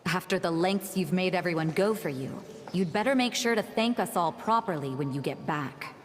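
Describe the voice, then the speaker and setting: A woman's voice: fairly low pitch, normal speed, natural voice. An actress voicing a spirited, caring but chiding young woman in a video game. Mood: fondly chiding. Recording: long reverb, faint noise.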